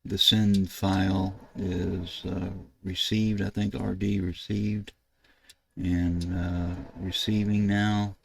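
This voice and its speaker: An older American man narrating a casual screen-share tutorial, thinking aloud in a halting way as he works at his computer. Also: sits low, flat delivery, vocal fry, a bit bright. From United States. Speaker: ham radio video host. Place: indoors at a computer desk.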